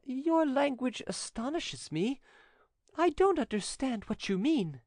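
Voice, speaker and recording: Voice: high-pitched, big pitch swings, slow, darkish tone, no echo, studio quality, pitched above the performer's own voice, heavily acted. A narrator voicing a coquettish, falsely innocent Frenchwoman in audiobook dialogue, with theatrical, sweetly dramatic protestations. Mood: astonished.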